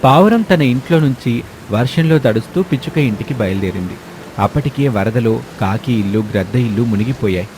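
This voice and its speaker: A man's voice: mid-range voice, lively intonation, a little fast, darkish tone, slight background noise, rough recording. A man narrating a scripted children's story as the storyteller.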